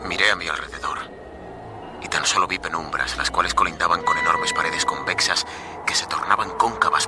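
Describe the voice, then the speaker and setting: An actor playing a desperate stranded man in a suspense radio drama, speaking dramatically. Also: a bass voice. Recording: dramatic music behind the voice.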